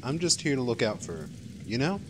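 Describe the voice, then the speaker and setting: A man's voice: medium pitch, big pitch swings, fairly quick, background noise, natural voice. A man with an American accent playing a loyal, protective young friend in an amateur radio play. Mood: sincere, casual.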